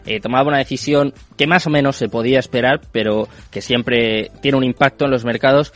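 A man's voice: mid-range voice, fairly even intonation, fairly quick, a bit bright, faint music behind. A man hosting a radio show, introducing his guest in an announcer-like way and asking questions. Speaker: radio host.

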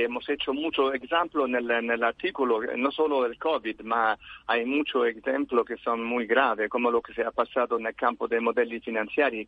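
A man's voice: medium pitch, dry recording. Italian man on a tinny phone line. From Italy. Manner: halting, many fillers and restarts, mixes in Italian words. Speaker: guest researcher. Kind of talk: phone interview.

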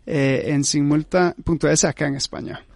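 A man's voice: fairly high pitch, steady tone, moderate pace, a little mellow, no echo, clean studio sound. A man from Costa Rica, a startup founder talking as a guest in a radio interview, agreeable and often saying "correcto", with many filler "eh"s.

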